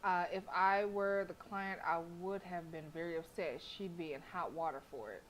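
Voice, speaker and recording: A woman's voice: fairly low pitch, moderate pace, a little hiss or hum. A woman streamer reading a visual novel aloud expressively, with casual, sassy asides. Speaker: game streamer.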